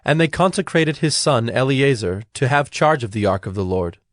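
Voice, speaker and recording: A man narrating scripture from a script in a measured, solemn manner. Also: mid-range voice, animated, dry recording, studio quality.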